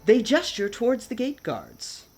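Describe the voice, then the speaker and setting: A woman's voice: on the high side, huge pitch swings, unhurried, a little mellow, faint music behind. A woman reading scripted stage narration for a radio play.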